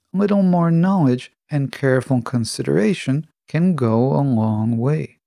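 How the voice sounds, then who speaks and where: A man hosting a solo podcast, reading a scripted monologue in a measured, instructive way. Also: on the high side, gravelly, dark tone, no room sound, studio quality. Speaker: podcast host.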